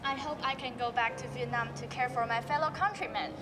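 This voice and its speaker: A teenage girl plays an idealistic young woman doctor who explains things earnestly, with a Taiwanese accent. Her voice sits high. It echoes across an auditorium with music playing behind. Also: a little fast, lightly acted. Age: teens. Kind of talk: school stage play. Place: large school auditorium stage.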